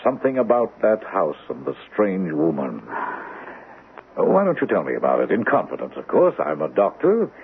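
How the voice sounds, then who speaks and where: Smooth probing doctor, low swooping voice. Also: male voice, wildly swooping pitch, heavily acted. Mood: probing, soothing. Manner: measured, deliberate, insinuating, dramatic pauses. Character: suave, probing male doctor with veiled menace. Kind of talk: old-time radio mystery acting. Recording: tinny band-limited vintage radio, faint hiss.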